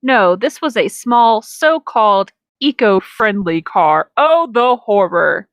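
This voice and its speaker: A woman's voice: mid-range voice, animated, slow pace, no echo. A woman co-hosting a comedic reading, narrating a story aloud with casual, sardonic asides. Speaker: co-host of a comedic fan-fiction reading.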